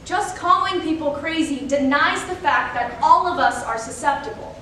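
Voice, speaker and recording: A woman's voice: normal speed, sharp tone. A woman delivering scripted lines in a rehearsed reader's theater performance. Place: large echoey room, distant microphone. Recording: very echoey hall, distant, faint rumble.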